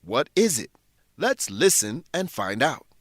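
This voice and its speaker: A man narrating and announcing a scripted radio drama, with clearly enunciated, presentational delivery. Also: mid-range voice, big pitch swings, unhurried.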